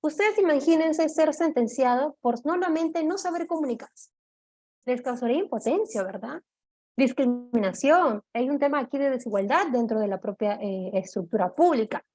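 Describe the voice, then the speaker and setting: Woman teaching a law class online, high voice, lots of eh's and "right?". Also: expressive, normal speed, a little mellow. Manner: explanatory, checks in with "¿de acuerdo?", frequent "eh" fillers. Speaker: law course lecturer. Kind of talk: online lecture.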